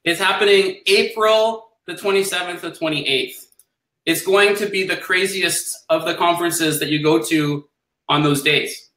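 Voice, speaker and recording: A man guest on a live video call, his voice high and bright-edged. He pitches his offers energetically and repeats them. The sound is roomy, with clear echo around him. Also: a little slow. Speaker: conference speaker, interview guest. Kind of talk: casual live video chat.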